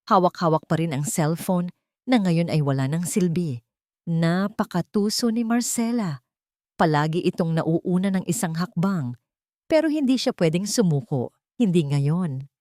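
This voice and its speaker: Expressive woman narrator, lower-pitched, close and dry. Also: no echo. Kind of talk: dramatic scripted audio story narration.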